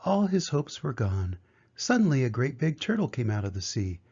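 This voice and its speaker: An older man narrates a children's picture book with gentle, measured delivery. His voice is soft-edged and warm in tone, slightly husky, on a dull lo-fi recording. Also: medium pitch, big pitch swings. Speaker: grandfather reading a picture book aloud. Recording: dull, muffled lo-fi sound.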